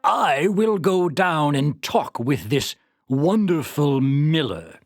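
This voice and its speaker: A man's voice: on the high side, big pitch swings, slow pace, faint music behind, voice pushed down, heavily acted. A man voicing a grand, melancholy king in a storybook tale, speaking in a stately, emphatic, wistful way. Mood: curious. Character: grand, melancholy king envying a humble miller. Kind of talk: storybook character voice.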